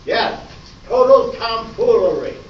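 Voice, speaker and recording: Deadpan police sergeant for a radio spoof male actor, high-set voice, slow official delivery, recorded distant in a hall. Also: full character voice. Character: deadpan male police sergeant, old detective-show parody. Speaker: amateur radio play actor. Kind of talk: parody of old radio police drama. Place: live stage performance in a hall. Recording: echoey, distant, dull, noisy live stage recording.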